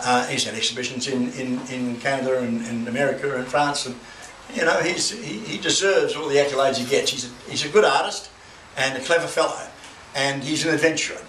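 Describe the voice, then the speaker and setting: A man's voice: medium pitch, bright, forceful tone. An older man gives a rambling, anecdotal speech at an exhibition opening, with many restarts and bawdy asides. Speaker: guest speaker opening an art exhibition. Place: gallery with a crowd. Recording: echoey room, far from the mic, noisy.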